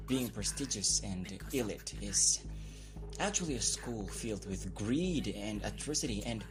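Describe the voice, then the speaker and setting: A man playing an aggrieved teenage student in a radio drama, halting and emphatic as he speaks. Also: fairly low pitch, natural voice, low-quality recording. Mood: bitter. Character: aggrieved teenage male student recounting injustice. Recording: voice over clear background music.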